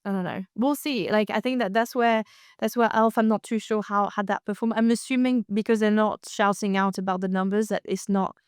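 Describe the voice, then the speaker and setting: A woman's voice: mid-range voice, steady tone, a little fast, clear, resonant tone, no echo, studio quality. A woman co-hosting a casual podcast, chatting loosely with lots of fillers and restarts. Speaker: co-host.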